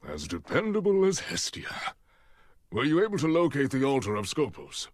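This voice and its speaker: An actor playing an authoritative older Spartan mentor with a husky voice and measured, theatrical delivery in a video game. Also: male voice, medium pitch, huge pitch swings. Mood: approving. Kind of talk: scripted video game drama.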